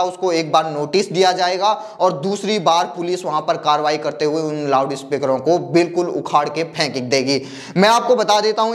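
A young man presenting news commentary to camera in a studio on a lapel mic, reeling off lists of figures as he talks straight to the viewer. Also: on the high side. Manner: talks directly to the viewer, gesturing with a phone in hand; reels off long lists of figures. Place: dark studio backdrop, indoors.

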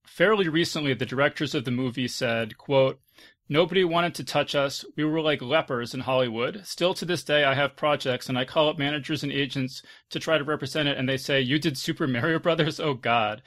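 A man's voice: fairly high pitch, a little fast, fairly bright tone. A man hosting a panel discussion in a casual, conversational way. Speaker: podcast host.

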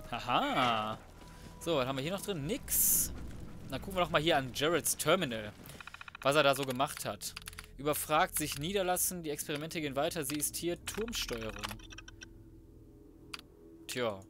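A man's voice: a little high, lively intonation, moderate pace, pauses often, background noise. A man giving casual gaming commentary, thinking aloud in a colloquial, cheeky way. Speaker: let's player.

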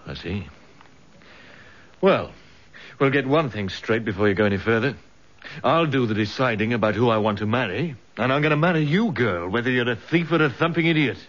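A man's voice: medium pitch, expressive, fairly quick, a bit bright. An actor playing a blunt, loyal, down-to-earth tough man in a radio drama, with a British accent. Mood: firm, concerned. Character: bluff, loyal, plain-spoken male suitor, tough instructor. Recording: dull radio drama recording.

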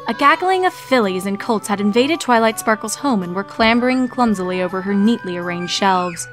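Woman narrating a story, medium pitch. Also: lively intonation, brisk, a bit bright, soft background music. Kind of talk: expressive scripted story narration.

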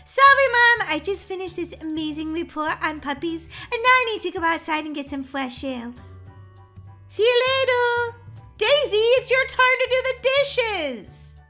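Breezy, know-it-all young girl, played by a woman; bright, very high, matter-of-fact, for game roleplay. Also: animated, fairly quick, hardly any pauses, soft background music, heavily acted. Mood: breezy, evasive. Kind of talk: children's game roleplay acting.

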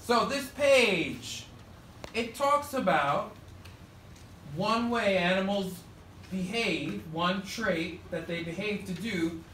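A man in his thirties teaching third graders with call-and-response, animated and projecting to the class. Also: high-pitched, slow pace. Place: elementary school classroom, indoors. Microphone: far camera at the back of the room. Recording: roomy, noisy classroom, distant camera mic.

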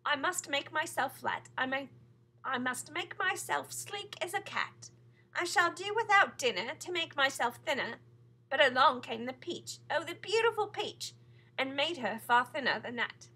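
Woman as a loud, boastful, gleeful centipede, high and forceful. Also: heavily acted. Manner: exuberant, shouting, theatrical. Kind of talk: children's storybook character reading.

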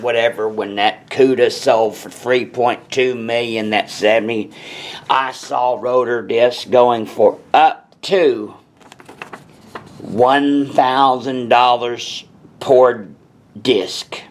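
A man speaks slowly and deliberately at an ordinary mid pitch, laying things out in a blunt, plain-spoken manner. His casual shop talk is caught on a camera mic near the workbench in an indoor parts workshop. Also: slight background noise. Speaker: parts seller explaining brakes.

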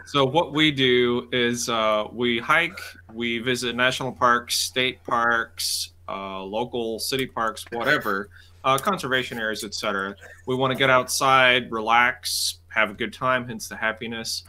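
A man joins a live stream over a video call wearing a headset. He explains things in a relaxed way, full of ums. His voice is bright and forward. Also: mid-range voice, unhurried. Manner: relaxed, filler-laden explaining. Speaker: guest channel creator. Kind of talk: casual self-introduction on a stream.

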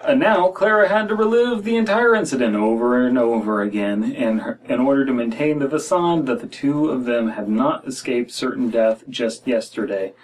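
A man's voice: medium pitch, animated, normal speed, hardly any pauses, low-quality recording. An American man in his thirties reading a horror story aloud as a podcast co-host, with wry, critical asides. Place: small room indoors.

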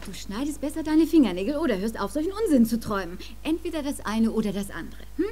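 A woman's voice: on the high side, lively intonation, fast, mellow. A woman in an audio drama playing a worried, scolding mother. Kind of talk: German audio drama acting.

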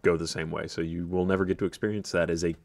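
A man's voice: deep voice, level tone, fast talker. A man in his thirties with an American accent, chatting casually with wry humour as a podcast co-host. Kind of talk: casual chat. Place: indoor podcast studio. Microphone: desk studio microphone.

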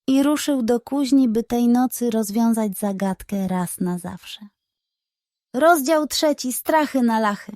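A woman narrates a bedtime story for children. Her voice sits fairly high and she reads slowly, with measured expression. The sound is dry, with no room around it. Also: dark tone, no echo.

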